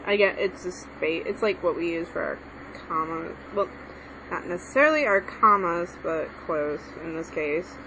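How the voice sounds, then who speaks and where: A woman's voice: lowish voice, expressive, moderate pace, pushed and bright. A young woman giving a casual lesson while she writes, rambling and correcting herself as she goes. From Mexican background. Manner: rambling, self-correcting, hesitant. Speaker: language tutorial maker. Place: home room with a TV on. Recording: lo-fi home recording with background noise.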